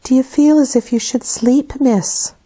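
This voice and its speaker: A woman voicing a young, soft-spoken nursemaid in a storybook reading, anxious and fussing over her charge. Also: sits high, steady tone, unhurried, pitched above the performer's own voice. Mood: soft, solicitous.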